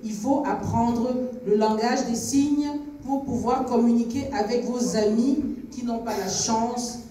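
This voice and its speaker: This is a Cameroonian woman with a fairly high, mellow voice, leading a film club discussion. She engages her young listeners like a teacher, putting questions to them. The sound is very echoey, as if caught away from the microphone in a big hall. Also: fairly even intonation, unhurried, far from the mic. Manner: engaging, asks questions, switches between French and English. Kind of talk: addressing a young audience, didactic. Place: screening hall with audience.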